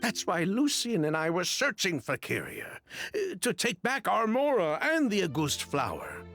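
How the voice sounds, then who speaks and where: An actor playing a grave, rueful businessman in a video game, telling his story in a measured, dramatic way. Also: high-pitched, huge pitch swings, exaggerated voice. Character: grave, rueful businessman confessing past schemes. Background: soft game music.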